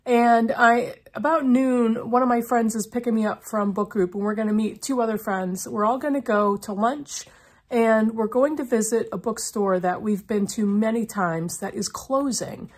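A woman's voice: fairly high pitch, normal speed, a little mellow, breathy edge. A middle-aged woman chatting casually to camera as a book vlogger, her voice slightly froggy from a lingering cough. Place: small indoor room lined with bookshelves.

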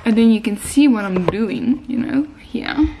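Girl in her 20s vlogging, highish voice, lots of vocal fry, music playing. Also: expressive, a little slow, background noise. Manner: informal, addressing viewers directly. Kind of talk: casual vlog chat and recipe voice-over.

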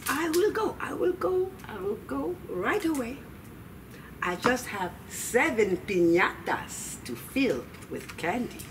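A woman's voice: fairly high pitch, sing-song, voice pushed up. A woman voicing a wily, cheerful old grandmother in a storybook, emphatic and drawing things out to stall. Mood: coaxing. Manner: sing-song, emphatic, drawn-out stalling. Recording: distant mic, noisy room ambience.

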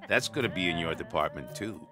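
An actor playing a blunt, threatening mobster in a radio drama. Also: male voice, sits low, animated, a little fast, loud music behind the voice. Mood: cold menace. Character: menacing male mobster giving a cold ultimatum.

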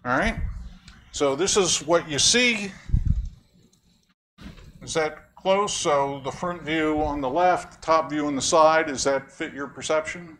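A man's voice: fairly high pitch, a little slow, pauses often, a bit bright, a little hiss or hum. Man lecturing in a room, wry and chatty. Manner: conversational, quizzes audience members, wry jokes. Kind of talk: academic lecture with audience questions.

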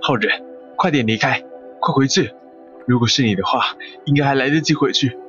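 A man playing a weary, sickly, protective older brother in an audio drama, halting and subdued. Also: medium pitch, a bit bright, lightly acted. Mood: urgent, fearful. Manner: halting, subdued, urgent warnings. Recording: dull muffled recording with music behind.

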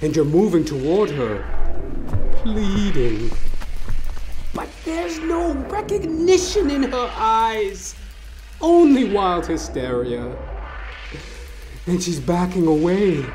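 A man narrates horror in an intense, theatrical style. He moves very slowly in short, broken phrases, his pitch sweeping up and down over faint music. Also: very animated. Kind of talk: dramatic second-person horror narration.

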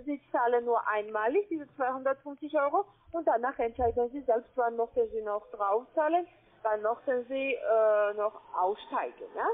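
A call-centre saleswoman with a foreign accent and a very high voice. She speaks haltingly and keeps checking in with short questions. The sound is a narrow, muffled phone line. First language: not German.

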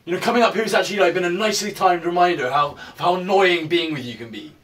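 A man's voice: high voice, fast talker, fairly bright tone. An actor playing a frustrated young male student, speaking informally and swearing in a small bedroom. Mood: angry. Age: young adult. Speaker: film actor. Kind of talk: naturalistic film dialogue.

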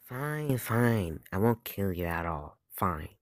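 A cold soft-spoken knife-throwing maid, as a man voices her. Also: sits low, takes it slowly, no room sound, clean studio sound, exaggerated voice. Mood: reluctant. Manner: quiet, flat, dismissive. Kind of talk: amateur fan animation voice acting.

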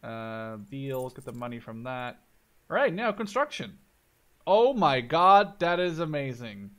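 A man in his twenties giving casual, excitable let's play commentary, thinking out loud as he goes. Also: medium pitch, measured pace. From Canada or the Netherlands. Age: 20s. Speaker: gaming video host.

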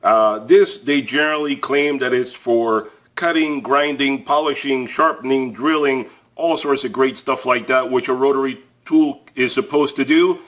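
A man's voice: medium pitch, moderate pace, few pauses, a bit bright. Male host, casual tool-review chatter, plain-spoken, mid-range voice, loose fillers and restarts. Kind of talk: casual product review to camera. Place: indoors at a table, filmed from above.

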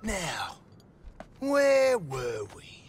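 An actor playing a cocky, crude rogue supervillain in a video game, brash and slangy. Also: male voice, high voice, huge pitch swings, extremely slow, noisy background, full character voice. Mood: taunting. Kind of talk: video game character acting.

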